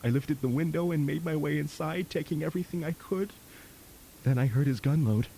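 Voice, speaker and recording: A man's voice: fairly high pitch, fairly quick, dark tone, lightly acted. A man acting in an audio drama as a desperate, guilty husband, pleading and confessing, on a hissy recording. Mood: guilty, shaken. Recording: hissy, faintly noisy tape.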